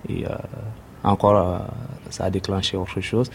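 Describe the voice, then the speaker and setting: Hesitant Sudanese-born man, low voice. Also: little pitch movement, gravelly, slight background noise. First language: Arabic. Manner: hesitant, many pauses and fillers. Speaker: interviewee, refugee. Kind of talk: personal testimony.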